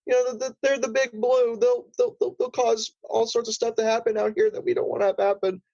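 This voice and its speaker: A man's voice: level tone, fairly quick, dark tone, lo-fi sound. A man voicing a small, naive but scheming cartoonish crab in improvised comedy, hesitant, pleading and rambling. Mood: casual, eager. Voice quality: high-pitched, small cartoonish voice. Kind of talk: improvised comedy role-play.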